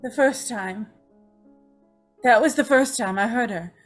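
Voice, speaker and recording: A woman's voice: on the high side, a little slow, faint music behind, natural voice. A woman playing a fragile, frightened young woman in an old-time radio melodrama, pleading and tremulous. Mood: distraught. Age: young adult.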